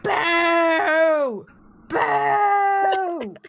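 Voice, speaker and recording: A woman voicing a furious ancient peasant woman in an amateur table read, jeering and declaiming with drawn-out, shrieking contempt. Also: very high voice, expressive, gravelly, voice pushed up, heavily acted.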